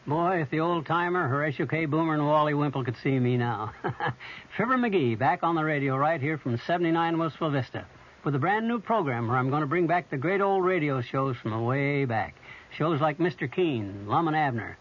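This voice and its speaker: A folksy, boastful radio comedy fellow. His voice is fairly high and chatty, on a dull old broadcast. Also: lively intonation, normal speed. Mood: cheerful bragging.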